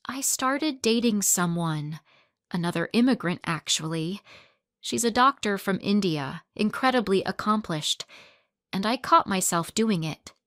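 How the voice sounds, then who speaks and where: A woman reading the lines of a remorseful man, her ex-fiancé, in a quiet, halting manner. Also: mid-range voice, lively intonation, no echo, clean studio sound.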